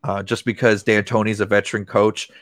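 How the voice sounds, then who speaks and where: A man's voice: fairly low pitch, little pitch movement, fairly quick, dry recording. A man hosting a sports podcast, joking and loose, reading an ad and asking the questions. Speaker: podcast host. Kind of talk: casual sports podcast chat.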